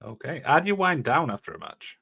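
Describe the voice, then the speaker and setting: A man hosting the interview with quick, bright delivery. He comes across as an eager fan and uses "obviously" constantly. His questions are simple and friendly. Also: on the high side, very animated, gravelly, husky. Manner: enthusiastic fan, leans on "obviously". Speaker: podcast host, interviewer. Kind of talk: casual podcast interview.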